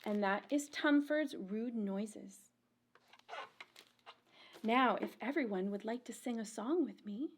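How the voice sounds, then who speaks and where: A woman with a high voice reads aloud for a children's storytime. Her pace is very slow and deliberate, with a sing-song, expressive storyteller delivery shaped for small listeners following a rhyming picture book. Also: slight background noise. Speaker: children's librarian and storytime reader.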